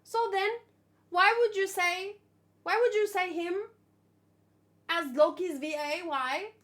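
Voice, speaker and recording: A woman with a high voice chats casually as a streamer to her viewers. She thinks out loud and wanders into asides. Her delivery is animated and full of exclamation. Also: slow pace. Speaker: streamer hosting a manga read-along. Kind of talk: casual live chat, thinking aloud.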